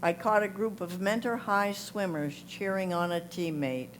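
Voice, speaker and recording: A woman's voice: medium pitch, moderate pace. A woman reading prepared photo comments aloud at a photography club presentation. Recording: clear hum and background noise.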